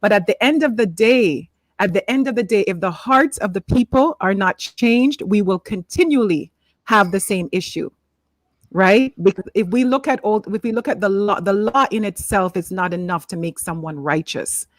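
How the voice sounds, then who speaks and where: A woman's voice: mid-range voice, moderate pace, dry recording. Earnest woman from Jamaica, dry mic. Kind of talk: panel discussion. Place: room at home. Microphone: desk microphone on video call.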